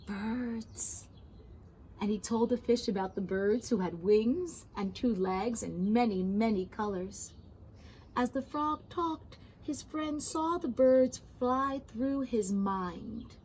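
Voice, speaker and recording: Slow-paced, high-voiced woman reading a storybook. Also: a little hiss or hum, low-quality recording. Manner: addresses young listeners with gentle commentary. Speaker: storybook reader. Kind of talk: expressive children's read-aloud with asides.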